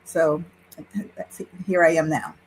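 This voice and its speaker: Woman, sixties, low-pitched, interview guest, animated storytelling, laughs often, faint background noise. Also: takes it slowly. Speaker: guest, Yoruba priestess. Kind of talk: personal storytelling in conversation.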